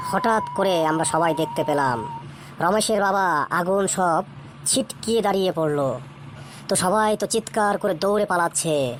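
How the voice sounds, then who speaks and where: A woman's voice: lowish voice, slight background noise, lightly acted. A woman voicing a jovial village uncle in a cartoon, telling a ghost story in a colloquial, suspenseful way.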